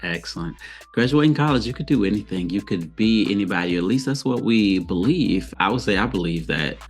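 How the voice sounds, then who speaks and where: A man's voice: lowish voice, lively intonation, fairly quick, husky. A man hosting a podcast, asking engaged, open interview questions. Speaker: podcast host.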